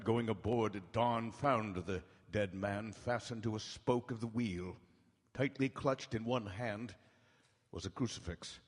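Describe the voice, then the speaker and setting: An ominous narrator for a live radio horror play. His mid-pitched voice moves slowly and deliberately, with suspenseful pauses, reverberating in a big hall. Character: solemn, ominous storyteller of a gothic horror tale. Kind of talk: dramatic scripted radio narration. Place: town hall stage.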